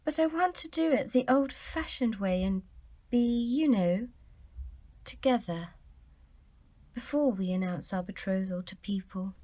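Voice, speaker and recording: An actress playing a sweet, shy young woman in a period audio drama, softly spoken and demure. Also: medium pitch, unhurried, pauses often, natural voice. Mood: shy, resolved. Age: young adult.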